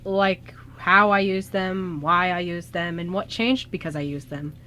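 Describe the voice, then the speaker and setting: A woman's voice: on the low side, a little slow, bright, forceful tone. Woman playing anxious stammering young man, noisy recording. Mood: bashful, pleased. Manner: stammering, hesitant, flustered. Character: anxious young male time traveler, now a ghost. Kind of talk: amateur radio drama acting. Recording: noisy amateur radio show recording.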